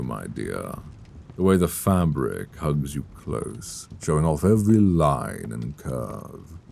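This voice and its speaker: Sadistic gentleman vampire for roleplay audio: bottomless creaky bass, slow, savoring delivery, silky and menacing, close binaural mic. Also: exaggerated voice. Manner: courtly, teasing, menacing, savoring his words. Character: suave, sadistic male vampire lord courting his captive enemy. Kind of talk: intimate roleplay audio acting. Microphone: close binaural microphone. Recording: close binaural mic, clear background noise.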